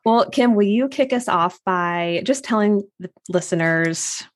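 A woman's voice: medium pitch, lively intonation, measured pace. A woman hosting a podcast, introducing her guest in an upbeat, effusive way. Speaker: podcast host.

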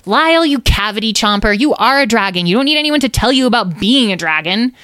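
A woman's voice: fairly low pitch, expressive, a little slow, no room sound, clean studio sound. A woman voicing a bossy little storybook creature who is haughty and mocking. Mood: scolding. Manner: teasing, haughty.

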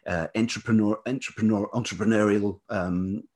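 A man's voice: lowish voice, steady tone, moderate pace, a little mellow. A middle-aged British man talking reflectively as an interview guest, hesitant and searching for his words. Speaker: guest, writer and teacher.

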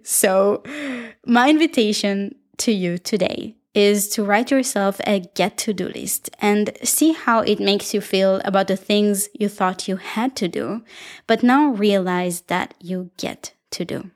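Israeli woman, young adult, solo podcast host and dancer, reflective and upbeat, speaks warmly to her listeners, medium pitch. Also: a little slow.

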